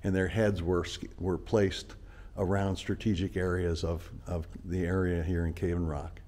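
A man's voice: deep voice, little pitch movement, normal speed, a little mellow. A man co-hosting a motorcycle travel vlog, narrating outdoors in a casual, rambling way into a camera mic. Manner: rambling, loose storytelling. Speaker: vlog co-host.

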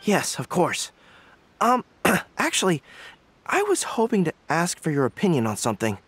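A man's voice: a little high, huge pitch swings, normal speed, fairly bright tone, husky, dry recording, exaggerated voice. A voice actor voicing a polite, curious young man who speaks thoughtfully and earnestly, in video game voice acting.

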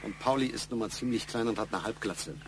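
A man's voice: on the low side, quick pace, a little hiss or hum, close to an everyday voice. An actor playing an insistent middle-aged boss who questions his employee pointedly in a crime radio play. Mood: probing, matter-of-fact. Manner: pointed, probing, matter-of-fact. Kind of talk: German radio crime drama acting.

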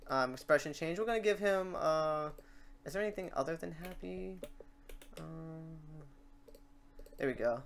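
A man hosting a casual software tutorial, rambling and thinking aloud as he works. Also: fairly high pitch. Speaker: tutorial host. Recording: clearly noisy mic, keyboard clicks. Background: typing and mouse clicks.

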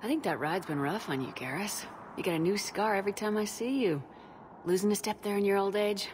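A woman's voice: mid-range voice, brisk, darkish tone, slight background noise, close to an everyday voice. A woman playing a tough, wry military commander and loyal friend in a video game, teasing in a dry, understated way. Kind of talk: video game dialogue acting.

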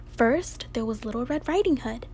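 A woman's voice: on the high side, lively intonation, moderate pace, darkish tone. A young woman reading a children's story aloud expressively, in a home recording. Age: young adult. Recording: home recording with clear background noise.

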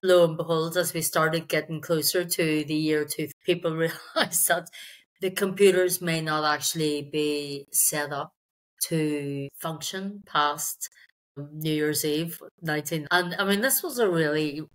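Low-voiced middle-aged Belfast woman. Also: unhurried. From Belfast, Northern Ireland. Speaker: sales executive, interview guest. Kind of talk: conversational personal career story in interview. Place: indoor room on a video call.

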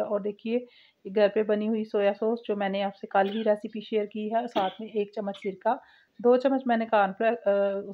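A woman giving a step-by-step cooking voice-over as the host of a recipe channel, on a lo-fi home recording. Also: mid-range voice.

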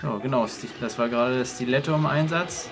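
A man's voice: medium pitch, a little fast, creaky. A man giving matter-of-fact explanatory commentary over a cartoon episode, with its soundtrack behind. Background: cartoon soundtrack and music.

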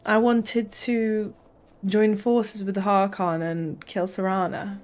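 A woman's voice: medium pitch, little pitch movement, slow, a little mellow. A young woman doing casual gameplay commentary, playful with dry complaints, close on a desk microphone. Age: young adult. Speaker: game streamer. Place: small room at home, indoors. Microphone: desk microphone, close, with headset. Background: game music.

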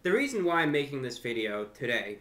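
Bright-voiced young man, deliberate and formal. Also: mid-range voice, big pitch swings, a little hiss or hum. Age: young adult. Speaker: YouTube host and amateur radio broadcaster. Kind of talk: unscripted talk to camera. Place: furnished room at home, indoors.

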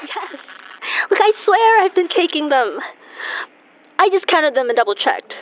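A woman playing an anxious, troubled woman in an audio drama, speaking haltingly. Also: extremely high pitch, big pitch swings, full character voice. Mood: anxious, shaken. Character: anxious, troubled woman insisting on what she saw. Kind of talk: naturalistic audio drama acting. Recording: muffled lo-fi phone call.

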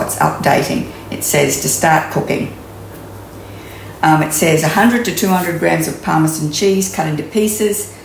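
A woman's voice: sits low, gravelly, a little hiss or hum. A woman in her sixties with an Australian accent, chatting through a home cooking demonstration with many ums and restarts, recorded in her kitchen on a camera mic a few metres away. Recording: echoey kitchen room.

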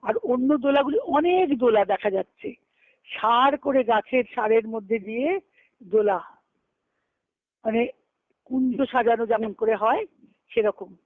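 A woman's voice: on the high side, unhurried, pauses often, dry recording. A woman gives an extempore devotional storytelling discourse on a conference call, explaining and rephrasing as she goes. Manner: explanatory, repeating and rephrasing phrases. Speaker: spiritual discourse speaker. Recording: dull lo-fi conference-call audio.

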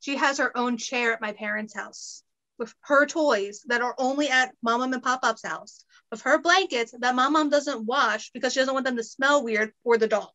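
A pregnant woman in her late twenties chatting casually over a video call from home, laughing often and breaking into quick asides. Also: a little high, sharp tone, low-quality recording. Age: late 20s. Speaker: guest costumer. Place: home room, indoors.

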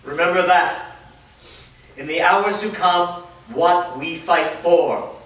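An impassioned commander played by a man rallies his troops in a staged drama. His very high, bright voice declaims slowly and solemnly, ringing in an echoey room. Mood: solemn, steadfast. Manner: declamatory, solemn oratory with deliberate pauses. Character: impassioned male commander rallying his soldiers. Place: large bare room with small audience, indoors. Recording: very echoey room, distant mic.

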